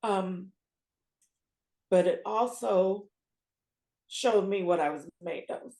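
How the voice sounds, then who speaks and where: A middle-aged woman on a video call from home, speaking haltingly and searching for words. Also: medium pitch, dark tone. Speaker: patient sharing her story. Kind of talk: emotional interview answers. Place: home room. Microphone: video call webcam. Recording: roomy webcam sound.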